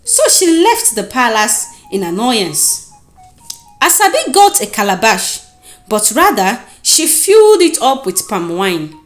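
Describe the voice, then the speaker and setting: Animated Nigerian woman telling a folktale. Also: a little high, measured pace. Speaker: storyteller. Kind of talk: dramatic scripted folktale narration. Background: music.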